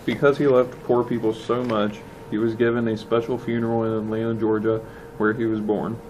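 Even-toned man reading a storybook. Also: on the low side, fairly quick, rough recording. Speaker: storybook reader. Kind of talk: children's book read aloud. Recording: faint background noise.

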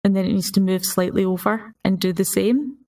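A woman talks informally as a panelist on a podcast. Her mid-pitched voice sits a little back from the microphone. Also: fairly even intonation, brisk, warm tone. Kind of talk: casual discussion. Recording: slightly distant from the mic.

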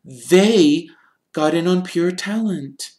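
A man reading an audiobook, voicing an earnest, clever schoolgirl in a high, sharp, shrill voice. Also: takes it slowly.

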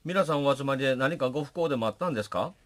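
A man's voice: mid-range voice, racing, a bit bright, lightly acted. A man playing a courteous, solicitous funeral director in a comic radio drama. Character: courteous, solicitous male funeral company president.

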